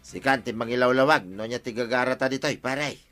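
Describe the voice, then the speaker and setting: A man's voice: medium pitch, fairly even intonation, fairly quick, fairly bright tone, soft background music, close to an everyday voice. A man with an Ilocano accent playing a stubborn, grumbling treasure-hunting villager in a Filipino comedy radio drama. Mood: hopeful.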